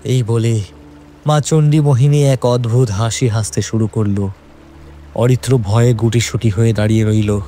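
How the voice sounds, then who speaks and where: A man's voice: mid-range voice, steady tone, moderate pace, dark tone, slight background noise. A man narrating a scripted horror story in a dramatic, suspenseful manner.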